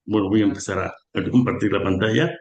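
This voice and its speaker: A man's voice: fairly low pitch, steady tone, fast, a little mellow, highs cut off. A man giving an online conference lecture, hesitant with many fillers and a slightly sore throat. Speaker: guest lecturer on equine nutrition. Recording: roomy echo.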